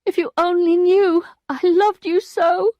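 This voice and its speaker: A woman's voice: a little high, measured pace, dry recording, highs cut off, voice pushed up, close to an everyday voice. A woman reading an audiobook, voicing a proud young wife in distress, bitter and pleading. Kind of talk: audiobook character voice.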